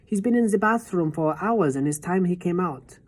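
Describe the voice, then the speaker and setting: A loving old grandmother speaks with cool composure and warm affection, as a woman reader puts her on. Her voice sits a little above the reader's low tone, steady and tender. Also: moderate pace.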